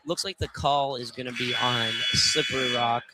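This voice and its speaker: A man working as color commentator on a live college basketball game, informal and a little halting. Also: medium pitch, steady tone. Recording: clearly noisy live radio broadcast.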